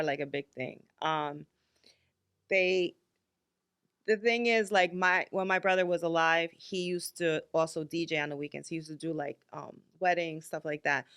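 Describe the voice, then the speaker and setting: A woman's voice: on the low side, fairly even intonation, moderate pace, fairly bright tone, clean tone. A woman in her fifties co-hosting a podcast, talking candidly and bluntly, with lots of filler likes. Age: 50s. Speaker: podcast co-host. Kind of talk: casual chat.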